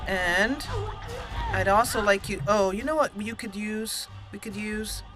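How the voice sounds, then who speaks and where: A young woman hosting a let's-play, chatting excitedly through a battle and roleplaying dialogue. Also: mid-range voice, measured pace, over music, low-quality recording. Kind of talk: casual game commentary and roleplay.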